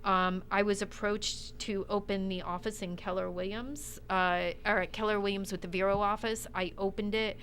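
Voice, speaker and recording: A woman speaking as an interview guest, telling stories in an animated, informal, self-deprecating conversational way. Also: mid-range voice, normal speed, hardly any pauses, a little hiss or hum. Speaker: guest, real estate executive.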